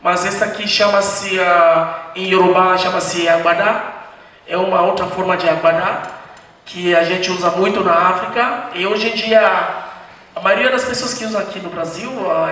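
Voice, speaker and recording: A man hosting a post-screening discussion, explaining things at an unhurried pace and pausing to gather his thoughts. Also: sits high, sharp tone. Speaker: panel host. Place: screening room, large hall. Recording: echoey hall, distant, faint noise.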